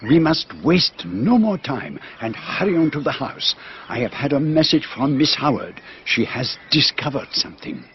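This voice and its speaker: An actor playing a shrewd, courteous retired Belgian detective in a radio drama, with a French-speaking Belgian accent and precise, formal, teasing delivery. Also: male voice, a little high, sing-song, moderate pace, muffled recording, a little hiss or hum. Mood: confiding.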